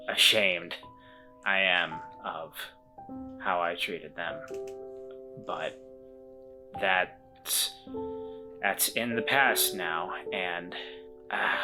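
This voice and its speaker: A woman voicing a remorseful weasel man who once ran away from home, speaking in a halting, reflective way and gently encouraging others. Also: extremely low pitch, exaggerated voice. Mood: ashamed.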